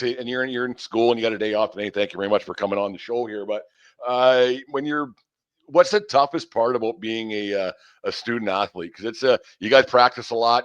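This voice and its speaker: A man's voice: medium pitch, fairly bright tone, dry recording, dull audio. A man in his fifties hosting a casual sports interview, chatty, rambling and joking at his own expense. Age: 50s. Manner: rambling, joking and self-deprecating. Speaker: sports show host and interviewer.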